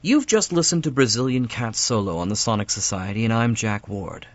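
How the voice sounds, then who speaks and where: A man's voice: fairly low pitch, expressive, fairly quick, faint music behind. A man hosting an audio drama show and interviewing a guest. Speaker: radio show host. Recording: dry, slightly muffled studio audio.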